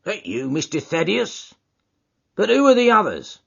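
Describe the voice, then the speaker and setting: A gruff, burly porter and former prize fighter, as a male narrator puts him on, blunt and stubborn with rough, dropped grammar. His voice sits raised and husky, in a dull lo-fi audiobook recording. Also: sits high, huge pitch swings, measured pace, fairly bright tone, voice pushed up, full character voice. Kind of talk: audiobook character voice.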